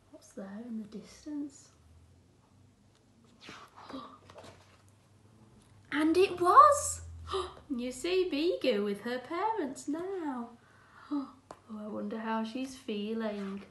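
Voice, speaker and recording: A high-voiced woman reads a storybook to small children. Her delivery is slightly unhurried and very lively in pitch, with encouraging teacherly asides. The room gives her voice an echo. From England. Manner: expressive, addressing young children with encouraging asides and gasps. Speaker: infant school teacher. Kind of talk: children's storybook read-aloud. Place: small room at home, indoors. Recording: roomy, echoey indoor sound.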